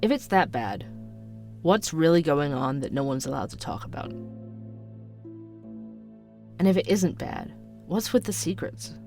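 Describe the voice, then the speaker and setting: An actor playing a sardonic, suspicious young night-shift barista who narrates a podcast in an audio drama, wry, conspiratorial and conversational. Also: male voice, on the high side, expressive, a little slow, long pauses, a little mellow, soft background music.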